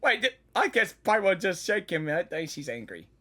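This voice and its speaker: A woman giving casual, halting, informal live reactions to a video game as she plays. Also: on the low side, very animated, fairly bright tone, slight background noise. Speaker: gaming reaction host.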